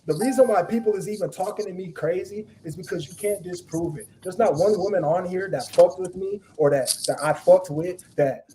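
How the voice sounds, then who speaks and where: A deep-voiced man holds forth bluntly in a casual live chat, leaning on emphasis and swearing freely. His tone is mellow and dark, and a faint hiss of background noise sits under the voice. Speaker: guest.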